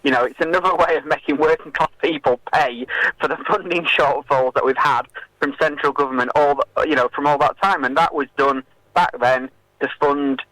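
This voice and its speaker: A man speaking as an activist guest in a radio interview, making a political argument in a hesitant, halting way with many filled pauses. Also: a little high, bright, forceful tone, no room sound, low-quality recording.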